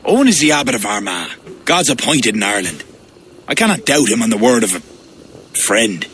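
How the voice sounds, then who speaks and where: A man's voice: medium pitch, big pitch swings, a little fast, fairly bright tone, a little hiss or hum. Proud medieval king, swooping delivery. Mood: dismissive. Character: proud, pious, stubborn medieval Irish high king. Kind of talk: cinematic video game acting.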